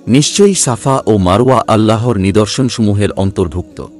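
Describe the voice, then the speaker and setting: Low-pitched man reading scripture formally. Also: moderate pace, faint music behind. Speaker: translation narrator.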